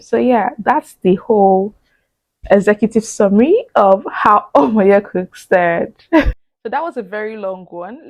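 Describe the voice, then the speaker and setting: A woman's voice: mid-range voice, a little mellow, no room sound, rough recording. A woman in her thirties chatting casually as she answers audience questions, laughing often and thinking aloud. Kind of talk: casual chat.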